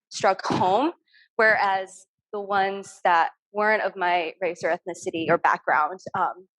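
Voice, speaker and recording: A woman's voice: mid-range voice, low-quality recording. Earnest young woman on a video call. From Turkey. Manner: frequent ums, thoughtful and earnest. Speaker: artist. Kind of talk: casual talk about her artwork. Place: home room on a video call.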